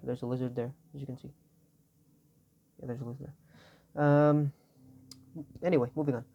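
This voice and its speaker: A young man with an Indonesian accent explains things in a tired, raspy voice, as if he hasn't slept. He wanders, corrects himself and apologizes along the way. The audio sounds a bit dull and lo-fi. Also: mid-range voice, level tone, moderate pace. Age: young adult. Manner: tired, rambling, self-correcting. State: raspy from no sleep. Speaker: student. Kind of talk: informal tutorial explanation.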